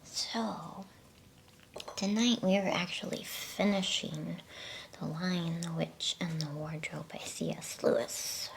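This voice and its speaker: A woman whispering breathily and gently in a hushed ASMR style, as a host reading a bedtime storybook. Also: lowish voice, fairly even intonation, gravelly, rough recording. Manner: gentle, slow, hushed delivery.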